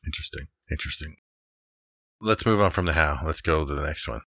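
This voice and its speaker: A man co-hosting a casual architecture podcast, giving laconic, low-key reactions and short remarks. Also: a little fast, fairly bright tone, no room sound, clean studio sound. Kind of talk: casual conversation.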